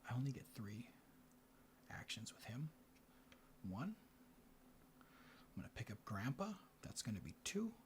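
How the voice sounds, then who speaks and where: A man speaks in a soft ASMR style, slipping between whispers and quiet talk. He murmurs his way unhurriedly through a board game as host, at an average male pitch. Some noise and ambience sit behind his voice. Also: big pitch swings. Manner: murmured, unhurried play-by-play to himself and the players. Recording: clear background noise. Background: room ambience, board game handling sounds.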